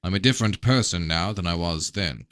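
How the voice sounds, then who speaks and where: Male narrator as scheming storybook prince: lowish, guarded, formal, unrepentant, dry recording. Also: fairly quick, fairly bright tone, no echo. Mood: coolly unrepentant. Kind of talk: dramatised novel reading.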